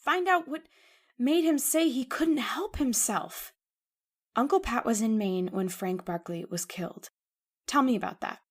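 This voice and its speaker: A woman's voice: a little high, animated, a little slow. A woman playing an anxious, devoted young woman in an old-time radio horror drama, earnest and melodramatic. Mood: troubled, insistent.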